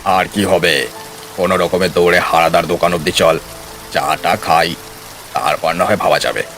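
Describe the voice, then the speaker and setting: A man's voice: low-pitched, steady tone, moderate pace, a bit bright. A man playing a bold, impatient village commuter with a brusque, exclaiming, colloquial way of talking in a cartoon story. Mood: brisk. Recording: faint rain noise behind.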